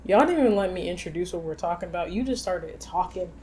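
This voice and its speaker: A woman's voice: lowish voice, lively intonation, quick pace, crowd noise. A woman co-hosting a podcast in casual, conversational chat. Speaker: podcast co-host.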